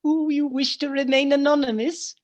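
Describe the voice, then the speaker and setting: A woman's voice: high-pitched, little pitch movement, normal speed, dry recording, lightly acted. A woman playing a polite, concerned charity collector with a formal, earnest manner in a radio play.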